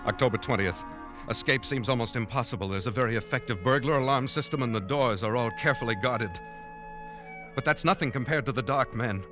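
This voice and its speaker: A man's voice: mid-range voice, fairly quick, lightly acted. An actor in a vintage radio drama playing an earnest, troubled man confiding in his diary. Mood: anxious, confiding. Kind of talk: 1940s-50s radio drama acting with diary narration. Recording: old radio broadcast with music behind.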